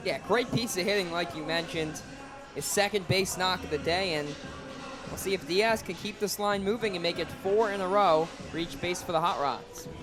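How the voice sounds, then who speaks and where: A man's voice: high voice, moderate pace, music in the background. An American man giving live color commentary on a baseball radio broadcast.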